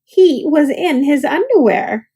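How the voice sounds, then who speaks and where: Slow, animated woman reading a children's story. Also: high voice. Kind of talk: expressive read-aloud narration.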